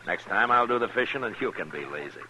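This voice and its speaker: Low, forceful-toned frontier lawman. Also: fast, slight background noise, rough recording. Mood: teasing, easy. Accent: American. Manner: relaxed, teasing. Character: easygoing, wry, authoritative frontier lawman. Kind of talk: 1950s radio western acting.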